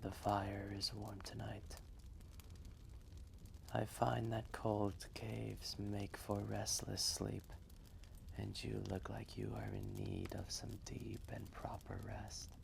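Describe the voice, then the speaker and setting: A man voicing an ancient, gentle, wistful dragon telling a fireside roleplay story in a soft, breathy, measured and gravelly voice. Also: lowish voice, monotone, slight background noise, heavily acted. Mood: soothing, protective. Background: quiet fireplace ambience.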